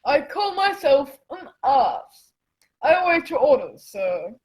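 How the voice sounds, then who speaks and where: Woman as very high-voiced, formal squire. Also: sing-song, takes it slowly, exaggerated voice, low-quality recording. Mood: contrite. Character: pompous but contrite male country squire. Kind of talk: radio play acting.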